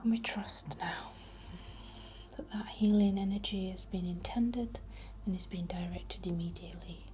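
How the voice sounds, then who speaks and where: A British woman whispering in a breathy, soothing, reassuring way, as an ASMR host leading a healing ritual. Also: mid-range voice, fairly even intonation, unhurried, mellow, slight background noise. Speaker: ASMR host, spiritual healer.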